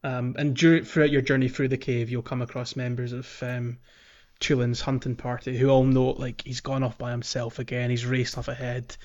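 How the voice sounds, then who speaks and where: A man's voice: mid-range voice, little pitch movement, moderate pace, a little mellow, dull audio. A man co-hosting a casual video game podcast, talking in a hesitant way as he searches for his words. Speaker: podcast co-host.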